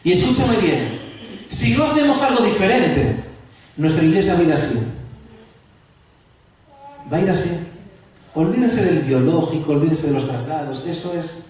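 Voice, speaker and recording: A man preaching as a pastor, lively, asking the congregation questions and joking with them. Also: on the high side, moderate pace, long pauses. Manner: lively, asks the audience questions and jokes. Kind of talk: sermon to a church gathering. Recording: echoey hall from far off.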